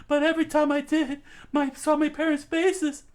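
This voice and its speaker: A woman voicing an anxious, excitable teenage boy who rambles and stumbles over his words. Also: high voice, moderate pace, natural voice. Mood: earnest. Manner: rambling, excitable, breaks into laughs.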